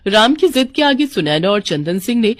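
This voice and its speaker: A woman's voice: mid-range voice, big pitch swings, moderate pace, background noise. A woman with an Indian accent reading scripted narration for a moral story.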